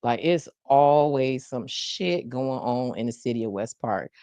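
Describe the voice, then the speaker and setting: A woman's voice: a bass voice, measured pace, no room sound. A woman hosting a live news podcast, talking casually and playfully to her viewers in the chat. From South Florida. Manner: animated, playful, talks to the chat. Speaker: podcast host.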